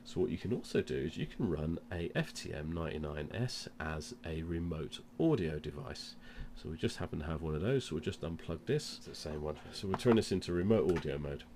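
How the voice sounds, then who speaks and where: A man with a very deep voice and a cold gives an informal how-to demonstration. He explains each step as he goes, and there is clear noise in the recording. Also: lively intonation, brisk, few pauses, darkish tone. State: has a cold. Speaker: presenter of product demonstration. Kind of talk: informal instructional demo.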